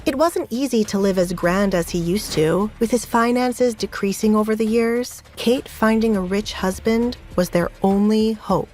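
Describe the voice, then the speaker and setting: A woman narrates a dramatic audio story in a scripted storytelling style. Her mid-pitched voice sits over a clearly audible music bed. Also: moderate pace, hardly any pauses, a little mellow.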